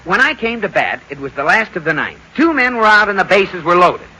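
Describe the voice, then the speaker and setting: A man playing a boyish, boastful husband in 1940s radio comedy style, with an excitable, mock-grand manner. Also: sits high, a little fast, exaggerated voice, poor audio. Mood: proud, nostalgic. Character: George, boyish, boastful husband and bank employee.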